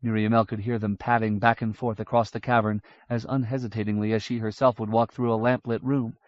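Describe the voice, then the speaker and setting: A man reading a fantasy novel aloud in a measured, even storytelling manner. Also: lowish voice, dry recording. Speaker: audiobook narrator. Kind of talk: scripted fantasy narration. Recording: dull dry audiobook recording.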